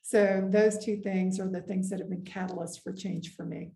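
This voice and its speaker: Middle-aged woman, earnest interview guest, warm mellow tone, roomy echo. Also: on the low side, steady tone, gravelly. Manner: earnest, measured, with frequent pauses and "um". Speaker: guest, executive. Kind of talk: reflective interview conversation. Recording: echoey room.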